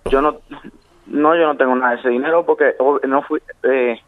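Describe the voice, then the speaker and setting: A man from New York with a Dominican accent, speaking haltingly and pleadingly in an unscripted phone conversation. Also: medium pitch, fairly even intonation, dry recording. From Queens, New York, of Dominican parents. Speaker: prank call victim, security worker. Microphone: telephone. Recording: dry, dull phone call.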